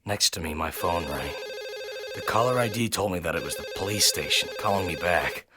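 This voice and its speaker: A man reading a first-person horror story in an intense, theatrical manner that swings between hushed and loud. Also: low-pitched, fairly quick, very noisy. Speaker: narrator and reader of a horror story.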